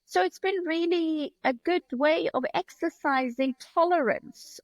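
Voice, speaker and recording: A woman from Iran with a fairly high voice talks as a podcast guest. She explains herself in a thoughtful, reflective way. The sound is dry, with very little room. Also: no room sound, low-quality recording. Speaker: podcast guest, leadership consultant. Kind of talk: reflective interview talk.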